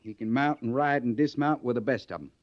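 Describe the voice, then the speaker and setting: Man as a gruff cavalry sergeant, dry muffled sound. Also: medium pitch, brisk, no room sound, lightly acted. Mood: stern, impatient. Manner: plain-spoken, folksy grammar, barking discipline. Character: gruff, no-nonsense cavalry sergeant, loyal and stern. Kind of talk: old-time radio western acting. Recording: muffled vintage radio recording.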